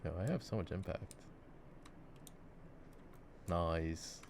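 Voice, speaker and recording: A man voices a laid-back gamer boyfriend in an ASMR roleplay. His voice sits low with a crackly fry, and he rambles playfully, mocking himself with mild drama. Mouse clicks and clear noise sit behind him. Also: takes it slowly, a little mellow. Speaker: ASMR voice actor. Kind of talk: improvised-sounding ASMR boyfriend roleplay while gaming. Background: mouse clicks and game ambience.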